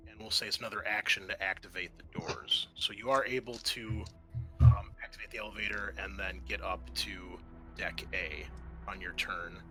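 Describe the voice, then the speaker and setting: A man's voice: lowish voice, steady tone, normal speed, music in the background. A man acting as game master for a tabletop roleplaying game, explaining turns and narrating in a conversational, improvised way.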